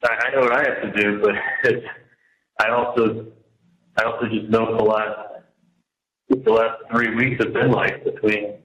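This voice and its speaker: A man in jail venting on a phone call in a halting, repetitive, casual way. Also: fairly low pitch, little pitch movement, fairly bright tone. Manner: halting and repetitive, venting about his situation. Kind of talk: casual, rambling personal phone conversation. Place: jail, on a telephone. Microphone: telephone handset. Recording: echoey jail telephone call.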